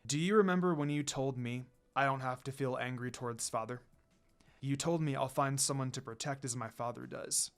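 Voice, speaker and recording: A man's voice: mid-range voice, moderate pace, lightly acted. A man voicing a proud, protective demon lord in a story, a formal and firm but dutiful son. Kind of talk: storybook character reading.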